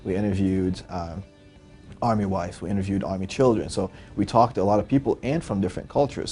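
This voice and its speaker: A man's voice: sits low, mellow. A young man, a startup founder giving earnest interview answers with frequent fillers. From Lebanon.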